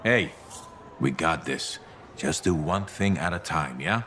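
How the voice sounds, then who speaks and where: An actor playing a steady, pragmatic male mentor in a video game, calm and reassuring in manner. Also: very deep voice, slow pace, a little hiss or hum, heavily acted. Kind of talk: video game cutscene acting.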